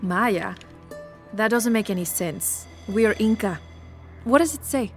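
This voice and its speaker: Direct, questioning youngster. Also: female voice, mid-range voice, takes it slowly. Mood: puzzled, sceptical. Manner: questioning, blunt. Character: young, direct, sceptical local Inca companion. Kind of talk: video game cutscene acting. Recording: music under the voice.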